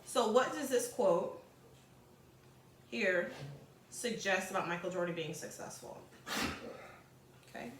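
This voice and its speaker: A woman's voice: medium pitch, lively intonation, slow pace, pauses often, roomy sound, noisy background. A woman teacher reading aloud to students, expressively, with informal chatty asides and explanations.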